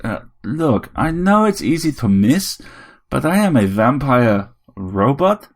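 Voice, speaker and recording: A man's voice: medium pitch, very animated, slow pace, pitched above the performer's own voice, full character voice. A man voicing a small, menacing vampire robot in an audiobook story, theatrical and gloating.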